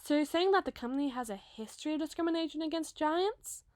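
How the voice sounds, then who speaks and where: A woman's voice: high-pitched, animated, darkish tone, dry recording. A woman voicing a dramatic, sweet young giantess model in an audio roleplay, with an emotive, chatty delivery. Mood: calm, musing. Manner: emotive, chatty, halting when flustered.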